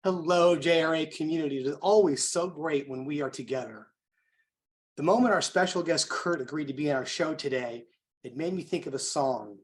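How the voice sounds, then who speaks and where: An American man speaking as an event host, delivering an emphatic, rhetorical motivational introduction with deliberate phrasing. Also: fairly high pitch. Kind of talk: motivational speech introducing a guest.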